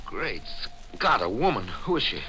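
A man's voice: medium pitch, big pitch swings, moderate pace, pushed and bright. An actor playing a brooding, secretive man, a criminal lover with a grim, guarded manner, in 1940s radio thriller style. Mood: menacing. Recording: noisy vintage 1940s radio recording.

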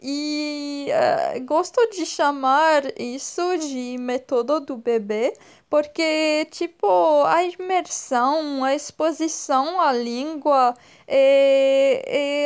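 A woman in her twenties from France with a French accent, speaking slowly and hesitantly as an interview guest, often pausing to search for words. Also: high-pitched. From northern France. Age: 20s. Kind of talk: slow, careful speech with many pauses and fillers.

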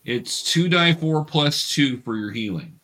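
A man's voice: on the high side, expressive, unhurried, pushed and bright. A man acting as game master, casually and patiently explaining the rules of a tabletop game step by step.

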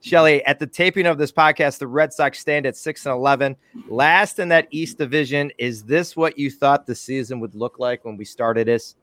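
A man's voice: medium pitch, normal speed, a bit bright, no echo. Male podcast host, playful and self-deprecating announcer patter, close and dry. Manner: jokey, self-mocking, chatty announcer patter. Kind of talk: casual podcast chat.

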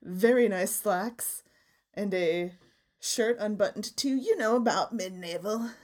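A woman's voice: medium pitch, unhurried, a little mellow. A woman co-hosting a podcast, telling chatty stories in casual conversation and laughing often. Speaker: podcast co-host. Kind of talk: casual chat.

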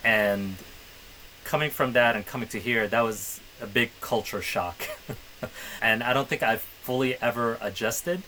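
A man's voice: mid-range voice, measured pace, bright, forceful tone, slight background noise, low-quality recording. A man who grew up in the Middle East, co-hosting a podcast and reflecting in casual conversation. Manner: reflective, hedging with "I think". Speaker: podcast co-host.

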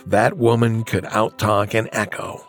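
An actor playing a sardonic, gossipy male corporate colleague with a dry, brisk manner in a campy audio drama. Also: on the low side, fairly even intonation, creaky, loud music behind the voice. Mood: sardonic, sneaky.

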